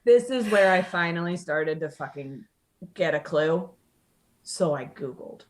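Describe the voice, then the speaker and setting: A woman's voice: deep voice, expressive, measured pace. A woman telling a personal story casually as a podcast guest, candid, sardonic and sweary, acting out the dialogue she quotes.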